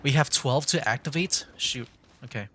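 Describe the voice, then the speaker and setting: A man's voice: medium pitch, moderate pace, pushed and bright, a little hiss or hum. A man streaming a game, giving casual live commentary, thinking aloud with mild exclamations. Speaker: streamer, VTuber gamer. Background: faint game music.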